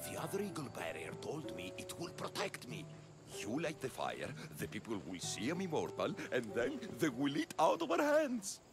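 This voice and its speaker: A man's voice: mid-range voice, huge pitch swings, moderate pace, creaky, music in the background. An actor playing a vain, deluded villager who thinks he is an immortal hero, boasting theatrically in a video game. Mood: conspiratorial. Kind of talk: video game cutscene acting.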